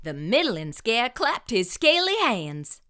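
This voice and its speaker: Woman, storybook reader, high voice, slow, expressive sing-song delivery. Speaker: storyteller host. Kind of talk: reading a picture book aloud.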